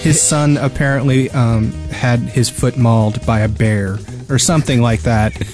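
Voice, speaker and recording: A man's voice: medium pitch, fairly even intonation, a little slow, over music. A man co-hosting a podcast in casual chat with a wry, joking manner. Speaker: podcast co-host.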